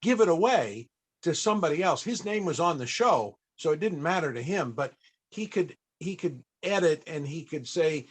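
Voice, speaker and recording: A man hosting a panel, telling stories conversationally to an audience, with halting, searching pauses. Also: a little high, lively intonation, slightly rough. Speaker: panel host and interviewer.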